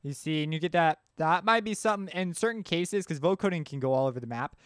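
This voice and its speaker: A man hosting a casual music production tutorial, explaining step by step as he demonstrates the software. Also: fairly high pitch, fast talker, clear, resonant tone, no room sound.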